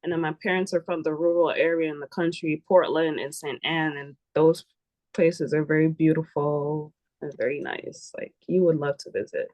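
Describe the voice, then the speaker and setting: A woman's voice: low voice, fairly even intonation, a little mellow, no room sound, low-quality recording. A woman from Jamaica, a guest on a podcast, chatting plainly and often agreeing. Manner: agreeable, plain-spoken, quick affirmations. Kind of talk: casual conversation.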